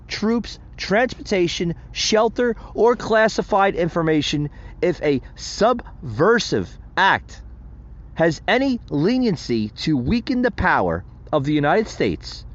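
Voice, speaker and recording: Impassioned man with a lively, high voice. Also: muffled recording. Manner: impassioned, emphatic, addresses listeners as folks. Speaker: podcast host. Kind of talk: solo political commentary.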